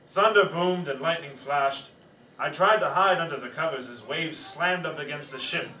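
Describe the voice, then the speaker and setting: Man storyteller reading aloud to kids, bright tone, distant roomy sound, faint noise behind. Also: on the high side. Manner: lively read-aloud, shouting pirate lines in a gruff put-on voice. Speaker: children's storyteller in pirate costume. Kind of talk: reading a picture book aloud to children.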